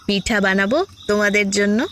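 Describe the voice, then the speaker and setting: An actress playing a village mother in an animated horror story, speaking in a slow, drawn-out way. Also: mid-range voice, natural voice. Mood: caring. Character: tired village mother, later cold and withdrawn.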